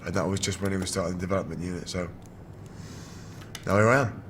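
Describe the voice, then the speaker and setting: British male, twenties, candid interviewee. Rough, damaged voice sitting very low, faint location noise behind. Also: fairly even intonation, quick pace. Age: 20s. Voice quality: damaged, rough voice from throat surgery. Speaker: wheelchair rugby player.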